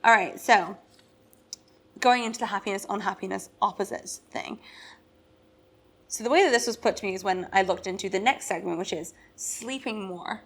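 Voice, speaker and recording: A woman's voice: mid-range voice, moderate pace, a bit bright. Woman podcast cohost, chatty, laughs a lot, walks through her research. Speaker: podcast co-host. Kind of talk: casual chat.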